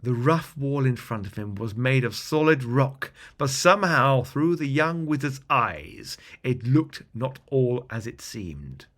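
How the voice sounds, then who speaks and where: Casting: male bedtime-story narrator, mid-pitched and very expressive, dramatic and deliberate fantasy storytelling.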